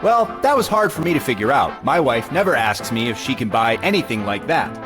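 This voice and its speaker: A man's voice: medium pitch, big pitch swings, brisk, natural voice. Guy doing old-timey american radio announcer, salesy, lots of swoop, music in the background. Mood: genial, persuasive. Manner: polished, salesmanlike. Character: genial 1940s radio pitchman. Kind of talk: 1940s radio announcing and sponsor pitch.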